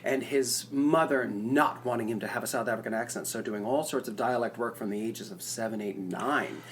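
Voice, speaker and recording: A podcast co-host in casual, animated chat, talking at a middle pitch. Faint background noise sits under the voice. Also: fairly quick. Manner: gushing, animated, fan-like.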